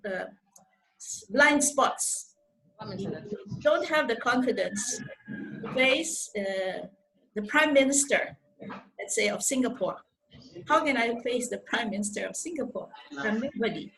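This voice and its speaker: An older woman from the Philippines with a Filipino accent, giving an earnest, deliberate motivational talk as a mentor on a video call. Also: fairly high pitch, a bit bright, poor audio. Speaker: life coach and programme mentor. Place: indoors on a group video call. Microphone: video call microphone.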